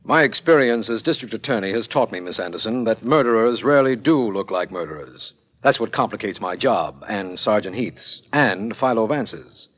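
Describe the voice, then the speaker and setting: An actor playing a sober, methodical district attorney in formal, measured 1940s radio style. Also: male voice, lowish voice, lively intonation, fairly bright tone. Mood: stern, probing. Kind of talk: 1940s radio acting, narration and dialogue.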